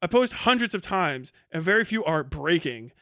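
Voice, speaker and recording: A man's voice: on the high side, very animated, moderate pace, a bit bright, no echo, clean studio sound. A man hosting a livestream with casual reaction commentary, talking and reading aloud in a blunt, mocking, profane way. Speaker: livestream host.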